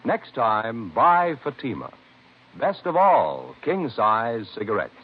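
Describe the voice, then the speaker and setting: A man's voice: medium pitch, wildly swooping pitch, slow pace. A man reading a 1950s radio advertisement as announcer, with polished, emphatic salesmanship. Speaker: commercial announcer. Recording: muffled vintage radio with faint noise.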